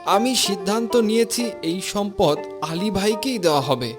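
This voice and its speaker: A man's voice: high voice, lively intonation, normal speed. A man playing a fair-minded, authoritative village imam in a moral cartoon, speaking formally. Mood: solemn, declaring. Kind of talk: Bengali moral cartoon dialogue. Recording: music behind the voice.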